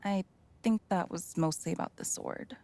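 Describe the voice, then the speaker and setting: An actress playing a guarded, grieving woman in a video game, halting and holding herself together, in a naturalistic style. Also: on the low side, warm tone, natural voice. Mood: subdued, grateful.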